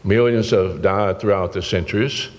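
A man's voice: deep voice, moderate pace. An older man preaching a sermon from a church pulpit, in an earnest, folksy way. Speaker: pastor. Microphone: pulpit microphone. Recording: long church-hall reverb.

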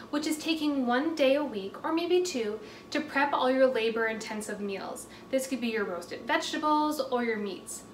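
A young woman with a slightly high voice gives an upbeat, clearly structured how-to talk in a home kitchen. She is recorded from a distance by a camera, so the room sounds echoey and a bit far off, with faint room ambience behind her. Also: slight background noise. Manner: upbeat, clear and instructive, gesturing with her hands. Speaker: fitness and nutrition presenter. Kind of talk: scripted how-to presentation.

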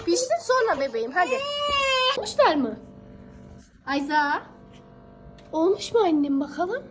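A woman's voice: high-pitched, big pitch swings, measured pace. A young woman, a mother talking casually and affectionately to her small child, encouraging with pet names and repeated phrases. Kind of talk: casual coaxing talk to a small child while baking.

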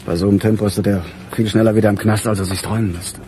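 An actor playing a weary, grumbling police chief with a husky voice in a radio crime drama. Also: male voice, on the low side, steady tone, rapid-fire, noisy background, natural voice. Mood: irritated.

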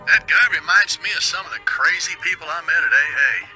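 A man's voice: fairly high pitch, animated, fairly quick, pushed and bright, soft background music, low-quality recording. A man playing a blunt, wisecracking radio producer in a video game. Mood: wry.

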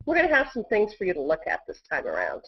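A woman's voice: medium pitch, wildly swooping pitch, fast, husky. Female interview guest, middle-aged, conversational delivery, muffled internet-call sound. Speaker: interview guest, community director. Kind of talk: casual interview chat. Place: indoor room at home. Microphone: earbud headset on video call. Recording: dull, lo-fi video call audio.

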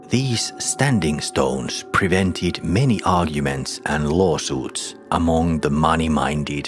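Super deep voiced guy telling a creepy story, hushed and half whispering, faint music under it. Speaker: narrator. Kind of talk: scripted horror story narration.

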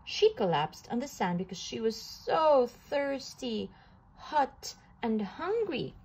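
A woman reading a children's picture book aloud, slowly and with animated delivery. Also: fairly high pitch, slightly rough, low-quality recording. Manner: slow, animated storytelling with occasional restarts. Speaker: storybook reader.

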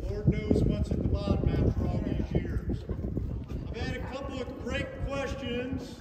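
A middle-aged man giving a guided walking tour, explaining things in a steady, informative way with friendly asides. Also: very high voice. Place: outdoors at a demolished factory site. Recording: loud outdoor background noise.

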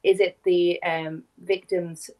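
A woman's voice: fairly low pitch, little pitch movement, slow, a little mellow, rough recording. Casual young woman sharing her story. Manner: casual, uses "like" and "kinda". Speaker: guest. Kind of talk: personal testimony.

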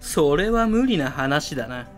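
A man's voice: fairly high pitch, big pitch swings, unhurried, faint music behind. A man playing a pompous, villainous school club president obsessed with masks, grandiose and theatrical, in an amateur voice drama. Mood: gloating, grand.

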